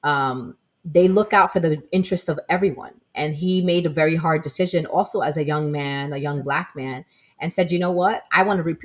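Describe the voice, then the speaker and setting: A woman with a low, deep voice co-hosts a casual podcast. She talks emphatically and tells stories with energy, posing rhetorical questions and answering them herself. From Palestinian American. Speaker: podcast co-host. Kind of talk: casual political chat.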